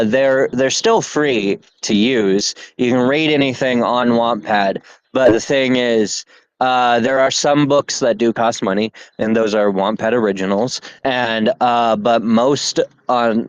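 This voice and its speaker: A man's voice: medium pitch, clean tone, no room sound. A young man co-hosting a casual podcast, rambling with restarts as he vents about websites. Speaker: podcast co-host. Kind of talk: casual chat. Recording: dull lo-fi dry sound.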